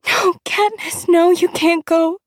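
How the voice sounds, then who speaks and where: Casting: panicked young sister for a storybook, very high pleading wail played by an adult woman. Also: steady tone, no echo, studio quality. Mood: hysterical, pleading. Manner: screaming, pleading, drawn-out. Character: frightened young girl, pleading hysterically.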